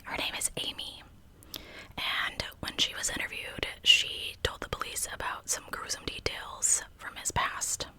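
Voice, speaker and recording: A woman's voice: a little slow, sharp tone, low-quality recording. Whispering woman telling true crime. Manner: conversational asides to listeners. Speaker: true crime channel host.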